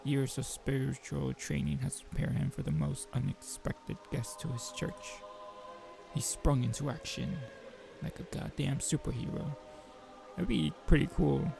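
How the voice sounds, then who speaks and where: A man with a gravelly voice reading a visual novel aloud as a game player, mixing narration with informal joking asides. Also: medium pitch, steady tone, unhurried, music in the background, low-quality recording. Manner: informal, joking commentary between read passages.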